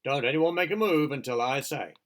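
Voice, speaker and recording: A man voicing a loud, blustering and nervous fire chief in a storybook reading. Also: mid-range voice, fairly quick, pitched above the performer's own voice, natural voice.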